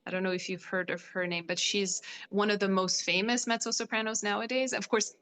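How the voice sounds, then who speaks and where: A woman's voice: medium pitch, steady tone, brisk, sharp tone. A woman from Poland, a classical singer, talking casually as an interview guest with frequent "like" fillers. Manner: chatty, many "like" fillers.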